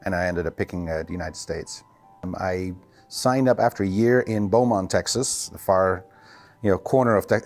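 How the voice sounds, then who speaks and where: A man's voice: lowish voice, a little mellow. A middle-aged man from the Netherlands with a Dutch accent, a native Dutch speaker, casually answering interview questions as a physical therapist and clinic owner.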